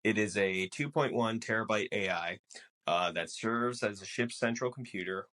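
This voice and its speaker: A man co-hosting a casual film discussion podcast, explaining things in a measured way. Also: fairly low pitch, bright, forceful tone. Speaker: podcast co-host.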